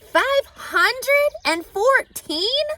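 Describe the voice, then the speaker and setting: A woman's voice: extremely high pitch, animated, drawn-out words, sharp tone, voice pushed up, exaggerated voice. A woman voicing a naive, tender young male pig in a storybook, eager and full of questions. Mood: curious, amazed.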